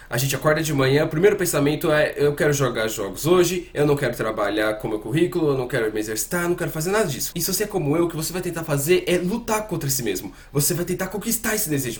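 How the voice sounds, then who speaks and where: A man's voice: on the high side, lightly acted. A Brazilian man dubbing a psychiatrist who explains addiction in an informal, conversational talk, speaking directly to the listener. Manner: addresses the listener directly, informal, with rhetorical questions.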